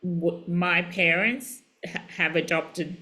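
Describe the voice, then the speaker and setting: Slow-speaking woman, low bright voice. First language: Italian. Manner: thoughtful, trailing off mid-sentence. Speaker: guest. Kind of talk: casual podcast conversation.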